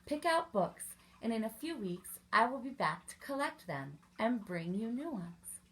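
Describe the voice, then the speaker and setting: A woman voicing a kindly male traveling librarian who encourages children in a measured way in a storybook. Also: fairly high pitch, very animated, a bit bright, poor audio. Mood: encouraging.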